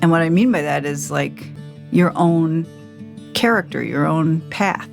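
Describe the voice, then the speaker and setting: A woman's voice: low-pitched, measured pace, vocal fry, soft background music. A middle-aged American woman co-hosting a podcast, speaking reflectively and asking personal questions. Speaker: podcast co-host interviewing her grown child. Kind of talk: reflective interview and narration.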